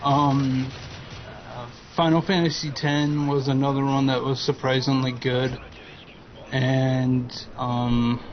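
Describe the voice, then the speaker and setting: A man in his twenties, a guest chatting slowly and hesitantly with frequent ums. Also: mid-range voice, little pitch movement. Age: 20s. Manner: slow, hesitant, lots of ums and uhs. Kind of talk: casual chat over gameplay commentary. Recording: dull lo-fi voice-chat audio with faint noise.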